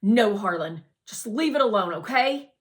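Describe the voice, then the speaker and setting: A woman's voice: mid-range voice, animated, moderate pace, recorded from a distance, voice pushed up, natural voice. A woman voicing a moody, withdrawn schoolboy in a storybook read-aloud, terse and guarded. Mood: irritated.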